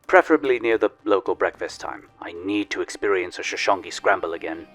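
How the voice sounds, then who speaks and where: Man acting a genial sci-fi researcher, lowish pitch, polite, slightly formal, wry and playful, audio drama, faint music behind. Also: animated, a little fast, fairly bright tone. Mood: obliging, playful.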